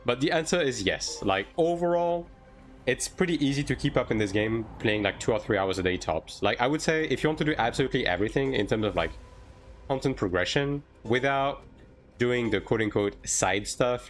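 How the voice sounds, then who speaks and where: A man's voice: medium pitch, lively intonation, fairly quick, faint music behind. A man from France, a native French speaker, chatting casually and informally on a game livestream as he answers viewers. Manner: informal, hedging, tags sentences with "right?". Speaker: game streamer.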